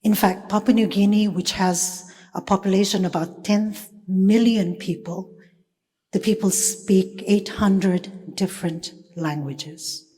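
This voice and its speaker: A woman from Fiji giving a thoughtful conference talk, with many pauses and fillers. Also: medium pitch, fairly even intonation, dark tone, husky. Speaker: panel speaker. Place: conference hall. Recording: reverberant conference hall.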